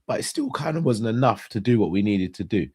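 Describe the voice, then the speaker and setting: A young man hosting a casual live-stream chat, hoarse and congested, talking straight to his viewers and often restarting his phrases. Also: fairly low pitch, very animated, a little fast, darkish tone. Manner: chatty, addresses viewers directly, restarts phrases. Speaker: host.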